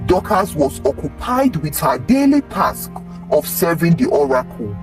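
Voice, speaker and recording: Slow-paced, animated storyteller over music. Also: mid-range voice, far from the mic. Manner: expressive, acts out the characters' dialogue. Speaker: storyteller, narrator of folktales. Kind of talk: scripted dramatic folktale narration.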